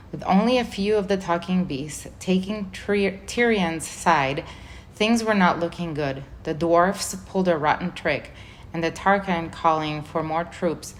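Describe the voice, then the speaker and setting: A woman reading a children's book chapter aloud as a volunteer reader, in a measured, clear way. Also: lowish voice, slight background noise. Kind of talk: storybook read-aloud narration.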